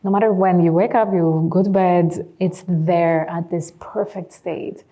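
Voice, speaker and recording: A woman's voice: fairly low pitch, fairly even intonation, measured pace, phone-quality sound. A woman from Greece with a Greek accent, speaking enthusiastically as a panelist in a discussion. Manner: enthusiastic, with frequent fillers.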